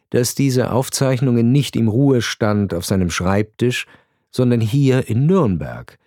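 A man's voice: mid-range voice, a little mellow, no room sound. Man narrating literary prose in a dry studio. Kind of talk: scripted literary narration for a radio production. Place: radio studio.

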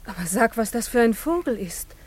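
An actress playing an uneasy, superstitious wife in a German radio play, speaking with apprehensive wonder. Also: female voice, fairly high pitch, animated, a little fast, a little mellow, breathy edge.

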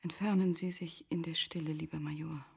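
A sad resigned noblewoman, whispery and husky, slow solemn delivery, radio drama. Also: deep voice, fairly even intonation, exaggerated voice. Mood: quiet resolve. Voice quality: breathy, whispery. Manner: hushed, solemn, deliberate. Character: grieving, resigned noblewoman, composed and self-reproaching. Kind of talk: literary German radio play acting.

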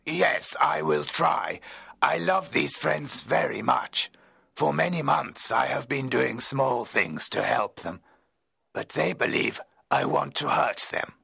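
A man voicing a lonely, anguished outcast monster who tells his own story in a dramatic audiobook, gravelly, husky and theatrical. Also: mid-range voice, sharp tone, dry recording, studio quality, voice pushed up, exaggerated voice. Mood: desperate, grateful. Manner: intense, deliberate, theatrical.

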